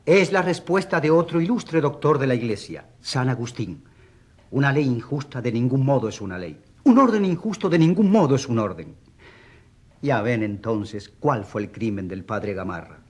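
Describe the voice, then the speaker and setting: An actor playing a wry, mock-polite defence advocate who argues emphatically in a courtroom radio drama. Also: male voice, mid-range voice, big pitch swings, moderate pace, darkish tone, husky. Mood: solemn.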